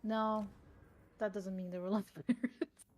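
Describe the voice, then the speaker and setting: A woman's voice: medium pitch, little pitch movement, moderate pace, crowd noise. A woman whose first language is not English, chatting casually with friends on a gaming stream, giggling often and trailing off mid-thought.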